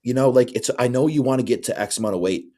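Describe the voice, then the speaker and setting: A man's voice: mid-range voice, fast talker, mellow. A man in his twenties, a bodybuilding coach, chatting casually on a podcast and often asking "you know what I'm saying". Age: 20s.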